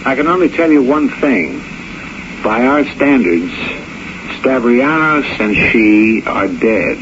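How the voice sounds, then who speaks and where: An actor playing a grim, knowing, cynical confidant in a 1970s radio drama, blunt and sardonic, on a muffled, noisy old recording. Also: male voice, medium pitch, animated. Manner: deliberate, sardonic, blunt delivery.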